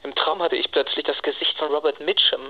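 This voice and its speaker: An actor playing a wry, needy lover rambling conversationally in a radio play, with a husky voice. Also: male voice, fairly high pitch, fairly even intonation, brisk, phone-quality sound, close to an everyday voice. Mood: dreamy, wry.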